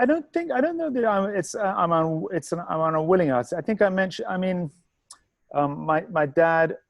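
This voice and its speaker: A man from England, a guest artist, answering interview questions haltingly with many restarts and fillers over a video call. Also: fairly high pitch, vocal fry, dry recording, highs cut off.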